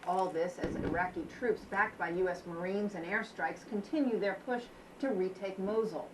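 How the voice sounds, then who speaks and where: A woman news correspondent voicing a packaged report with a crisp reporter delivery. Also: mid-range voice, normal speed. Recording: distant playback with light noise.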